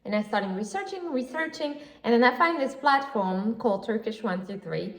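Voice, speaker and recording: A woman's voice: on the high side, animated, moderate pace. A woman hosting her own podcast, talking casually and gushing with lots of fillers. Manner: chatty and gushing, with frequent fillers and laughs. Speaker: podcast host. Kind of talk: casual solo podcast chat. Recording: roomy echo.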